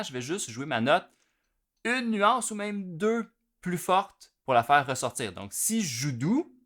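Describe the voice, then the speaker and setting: A man with a Quebec French accent teaching drums in a relaxed, explanatory way, with plenty of fillers. His voice sits at an ordinary middle pitch. He talks through the material informally and stops between phrases to play. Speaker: drum teacher. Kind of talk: instructional video lesson.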